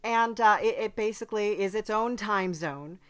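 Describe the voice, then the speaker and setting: A woman's voice: mid-range voice, normal speed, clear, resonant tone, lightly acted. A woman voicing a chatty demon from hell in an improvised comedy podcast, hesitant and politely earnest as she rambles. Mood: chatty, matter-of-fact. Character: chatty demon from hell who visits the human world. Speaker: podcast host. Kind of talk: improvised in-character comedy interview.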